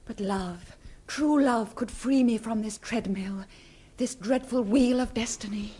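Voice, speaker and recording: A doomed vampire countess in a radio drama, fairly high and very breathy. She sounds melancholy and full of yearning, with a soft, dark tone. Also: a little slow. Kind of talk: 1970s radio play acting.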